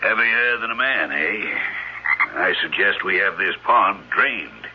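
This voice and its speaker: Retired small town fella, husky, folksy, self-assured, old muffled radio play. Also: male voice, low-pitched, lively intonation, slow, heavily acted. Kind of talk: old-time radio drama acting. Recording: muffled vintage radio recording.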